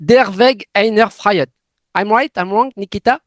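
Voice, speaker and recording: Interviewer: French-accented man, high voice, dry close sound, hesitant non-native English. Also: no room sound. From France. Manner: halting non-native English with many fillers. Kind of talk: video call interview.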